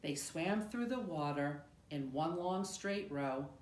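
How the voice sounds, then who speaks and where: Female storybook reader, lowish voice, very unhurried and expressive, in an echoey room. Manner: expressive, measured storytelling with deliberate pauses. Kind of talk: read-aloud of a rhyming children's picture book. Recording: roomy, echoing room sound.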